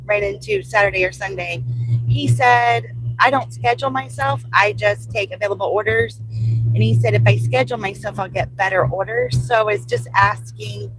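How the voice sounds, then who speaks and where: A woman's voice: medium pitch, moderate pace. An older American woman with a Kentuckiana lilt talks casually from her car. She rambles from thought to thought and answers questions as they come in. Her voice is a little stuffy from allergies, and faint road noise sits behind her. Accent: Southern Indiana / Louisville area. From United States. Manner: chatty, rambling, reads comments aloud and answers them. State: congested from allergies, coughing. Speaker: vlogger driving deliveries, reading live chat. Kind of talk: casual live-stream chat while driving.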